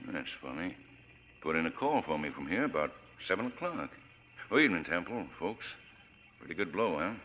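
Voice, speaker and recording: An actor playing a gruff, hot-tempered small-town sheriff in a 1940s radio drama, questioning in blunt, clipped phrases. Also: male voice, deep voice, very animated, moderate pace, fairly bright tone. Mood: suspicious. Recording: noisy, dull vintage radio recording.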